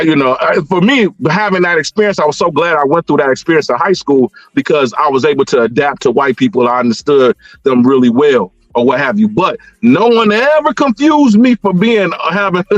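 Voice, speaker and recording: A middle-aged American man with a slightly high voice talks casually as a guest caller. He circles back over his words in a rambling way. The sound is dry and a little muffled, like an online call. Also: no echo. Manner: rambling, repeats words, frequent "you know". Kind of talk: casual discussion of personal experience. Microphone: internet call connection. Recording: band-limited online call audio.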